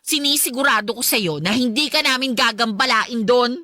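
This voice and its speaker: A woman's voice: fairly high pitch, normal speed, bright, forceful tone, no echo, studio quality. A woman playing a spiteful, brazen stepmother figure in a Filipino radio drama, with a taunting, condescending, sugary manner and a Filipino accent. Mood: brazen, dismissive. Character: spiteful, brazen stepmonster girlfriend of a widowed father.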